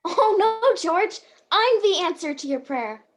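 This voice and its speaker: Woman playing a very high-voiced, earnest apprentice angel. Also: animated, a little slow. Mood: earnest, cheerful. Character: earnest, bumbling apprentice guardian angel, kindly and chatty. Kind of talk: radio play acting. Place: at home on a video call.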